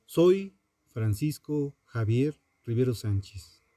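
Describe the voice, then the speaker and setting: A man narrating scripted true crime in a solemn, deliberate, dramatic way, pausing between short phrases. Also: medium pitch, animated, dark tone, no room sound.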